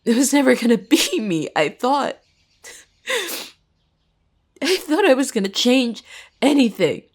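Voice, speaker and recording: Woman acting bitter, low-voiced young female student. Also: expressive, moderate pace. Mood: furious, despairing. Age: young adult. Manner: sarcastic, emphatic, swearing. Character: determined, embittered young female student, sharp-tongued. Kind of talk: dramatic audio roleplay acting.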